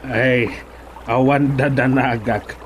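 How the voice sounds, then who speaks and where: A man's voice: medium pitch, fairly even intonation, takes it slowly, natural voice. A man playing a villager in a radio drama, talking in exclamations and sighing interjections. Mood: concerned. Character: male villager fretting over his cousin. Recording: background noise, water ambience.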